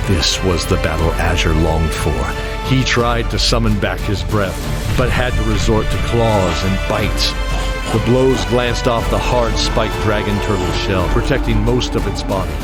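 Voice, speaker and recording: A man with a low voice narrates a fantasy audio drama. His scripted delivery is dramatic, set over loud music and effects.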